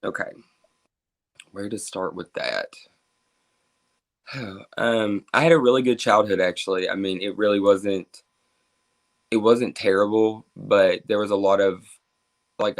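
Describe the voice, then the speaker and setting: A man in his twenties with a Kentucky accent speaks as a guest, with a fairly low voice. He talks openly and at length, often using "like" and "um" as fillers. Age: 20s. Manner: open and talkative, with frequent fillers. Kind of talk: casual interview, telling his life story.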